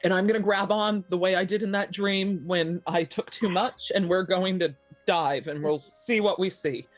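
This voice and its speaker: A woman's voice: fairly low pitch, moderate pace, no room sound, soft background music. Dry-sounding woman role-playing in a tabletop game. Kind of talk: in-character improvised role play.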